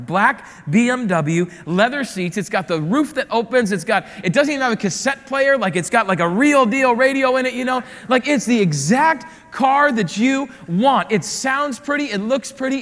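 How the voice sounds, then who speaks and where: Brief: man preaching a chatty sermon, high voice, echoing sanctuary sound. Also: fairly bright tone. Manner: conversational, repeats phrases for emphasis. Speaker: pastor.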